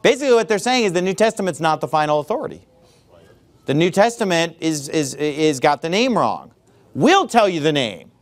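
A middle-aged man preaching a sermon in a church, emphatic and sarcastic, with sudden shouted words. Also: on the high side, sing-song, fairly quick. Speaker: pastor.

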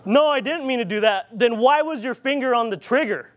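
A man with a Filipino accent and a notably high-pitched voice preaches in an informal, rambling way. He tells stories with frequent fillers and restarts. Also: brisk, a bit bright. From Philippines. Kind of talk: informal sermon storytelling.

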